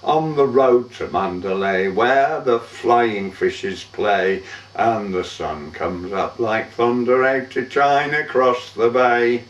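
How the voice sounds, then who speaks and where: A man giving a measured, theatrical recitation of a poem as a monologue, drawing out the ends of lines. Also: mid-range voice. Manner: measured, theatrical, drawn-out line endings. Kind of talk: dramatic poetry recitation, monologue. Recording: dull, echoey room, far from mic.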